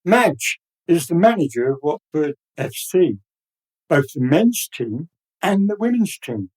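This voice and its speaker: A man's voice: fairly high pitch, very animated, a little slow, a little mellow, slightly rough, poor audio. A man narrating the scripted introduction to a radio play.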